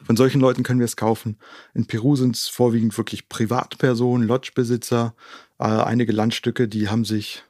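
A man's voice: mid-range voice, flat delivery, fairly quick, darkish tone, no echo. Man explaining, dry close sound. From Germany. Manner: explains in detail, often tags sentences with "ne?". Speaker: conservation organisation co-founder, interview guest. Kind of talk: explanatory interview talk.

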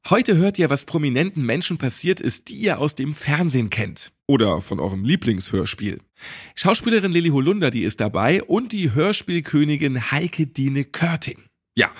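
Male podcast host, highly animated delivery with wide swings in intonation. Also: breathy edge. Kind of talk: lively scripted intro and interview.

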